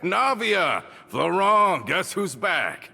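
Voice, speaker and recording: An actor playing a hearty, talkative ex-convict gang member in an animated game style. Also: male voice, high voice, slow pace, gravelly, husky, big-room sound, heavily acted. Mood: jovial.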